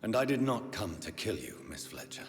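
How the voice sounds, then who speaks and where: A man playing a grave, compassionate doctor in a video game, speaking in a measured, formal, analytical way. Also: fairly low pitch, very animated, noisy background. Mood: calm, resolute. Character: grave, compassionate male doctor with a dead heart. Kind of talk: dramatic video game acting. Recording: orchestral cello music behind.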